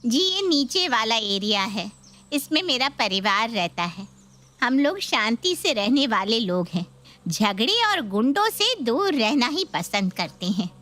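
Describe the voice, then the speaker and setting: A woman's voice: on the high side, big pitch swings, measured pace, bright, forceful tone, exaggerated voice. A woman playing a middle-aged mother-in-law who is fawning, anxious and nagging, in a dramatised story. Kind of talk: dramatised Hindi story video.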